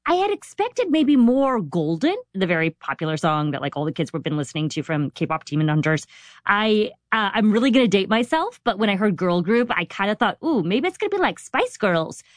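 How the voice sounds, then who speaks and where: Female co-host, animated intonation, candid and chatty, dry sound. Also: mid-range voice, a little fast, no room sound. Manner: candid, chatty, self-deprecating. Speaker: podcast co-host. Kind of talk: casual chat.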